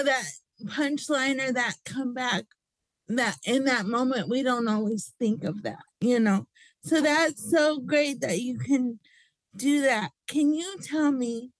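A woman's voice: sits high, no room sound, low-quality recording. A woman hosting a radio interview, affirming her guest and asking open questions.

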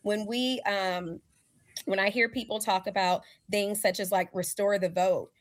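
A woman's voice: mid-range voice, steady tone, unhurried, no echo. A young woman with Southern roots, speaking earnestly as a community organizer on a panel call, with frequent fillers. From Southern United States.

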